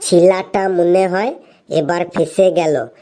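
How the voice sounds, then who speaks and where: A woman's voice: lowish voice, steady tone, slow. A woman voicing a wry classmate in a comedy cartoon, with a rural western Bengal accent. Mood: wry, knowing. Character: wry classmate commenting from the side. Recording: loud background noise with clicks.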